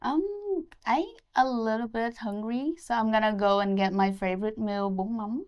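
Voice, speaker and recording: Twenties woman, Vietnamese accent, smooth resonant tone, eager pageant contestant. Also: on the high side, moderate pace, a little mellow. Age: 20s. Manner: nervous but eager, simple English. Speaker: pageant candidate.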